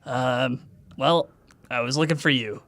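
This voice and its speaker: A man chatting and role-playing casually as a player in a tabletop game, with halting, deadpan phrasing. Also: medium pitch, no echo. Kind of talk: casual improvised role-play and chat.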